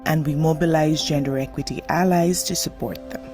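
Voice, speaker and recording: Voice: medium pitch, steady tone, brisk. A narrator reading a polished script for an organisation's promotional video. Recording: voice-over with music bed.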